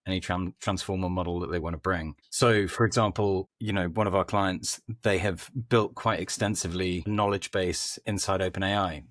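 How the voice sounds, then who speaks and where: Man, podcast guest, deep low voice, very dry close sound, informal explanatory delivery. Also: a bit bright, dry recording. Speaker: podcast guest, company head of growth. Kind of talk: conversational interview answers.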